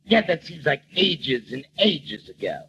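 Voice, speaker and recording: Doting wealthy husband, melodramatic, lively intonation, muffled and hissy vintage radio recording. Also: male voice, fairly high pitch, unhurried, gravelly, exaggerated voice. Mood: plaintive. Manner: plaintive, melodramatic. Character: rich, needy, doting husband, silly and pleading. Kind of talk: old-time radio comedy acting.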